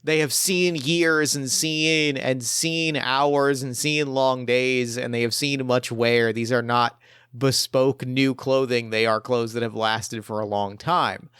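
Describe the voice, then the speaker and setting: A man improvising narration as game master of a tabletop roleplaying game, speaking haltingly and repeating phrases as he builds his descriptions. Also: medium pitch, fairly even intonation, a bit bright, clean tone, no room sound, studio quality.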